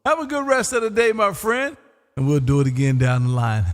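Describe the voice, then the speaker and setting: High-pitched jovial man, heavy reverb. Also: very animated, brisk. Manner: jovial, teasing, repeats himself, often says "man". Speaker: amateur radio operator and livestream host. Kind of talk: casual radio chat, live streamed. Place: home radio room.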